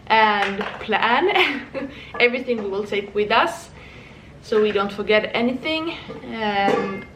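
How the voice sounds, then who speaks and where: Young woman, fairly high voice; rambling home vlog in an echoey room. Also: fairly bright tone, far from the mic, a little hiss or hum. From Finland. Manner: chatty, rambling, frequent restarts and small laughs. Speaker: vlogger. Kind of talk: casual chat.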